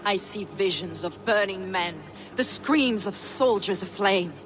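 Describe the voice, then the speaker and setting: A fierce, confident warrior woman voiced by an actress for a video game. She is silver-tongued, with a medium-pitched voice. Clear environmental noise sits behind her. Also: expressive, a little slow, natural voice. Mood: grimly eager.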